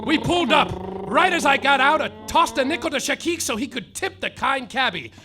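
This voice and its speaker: An actor playing a melodramatic, heartbroken private detective, declaiming a parody noir story in a live comedy radio play. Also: male voice, very high-pitched, animated, fairly quick, over music. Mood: urgent. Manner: overwrought, declamatory. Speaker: lead actor and narrator.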